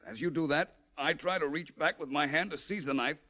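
An actor playing a suave, commanding private detective in a polished 1940s radio drama style. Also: male voice, a little high, brisk, pushed and bright. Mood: commanding, theatrical. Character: suave, self-assured male private detective, wryly theatrical.

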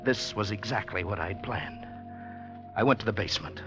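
A man's voice: fairly low pitch, normal speed, fairly bright tone, close to an everyday voice. A man acting a nervous, earnest, lovestruck poet in a 1940s radio drama, speaking hesitantly. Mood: secretive, tense. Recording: muffled old radio recording with music.

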